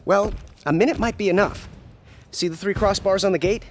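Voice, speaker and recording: A man's voice: sits high, fairly quick, a little hiss or hum, close to an everyday voice. A man playing an upbeat, curious young male sidekick in a video game, speaking casually and encouragingly. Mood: focused. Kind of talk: video game cutscene acting.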